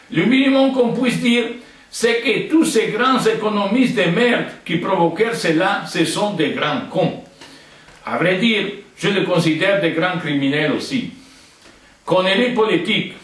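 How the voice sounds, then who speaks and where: Older italian guy reading an angry open letter, kinda high voice, big echo, far off the mic. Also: a bit bright. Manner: indignant and blunt. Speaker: video letter writer. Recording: echoey, distant from the mic.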